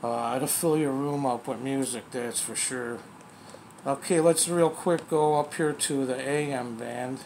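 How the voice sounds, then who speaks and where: A man presents a hands-on gear demo in a casual, plainspoken way. His voice sits at a middling pitch and has a folksy, unpolished delivery. There is faint noise behind him as he talks. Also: steady tone, unhurried, creaky, darkish tone. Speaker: radio reviewer, presenter. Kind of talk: casual hands-on product demonstration.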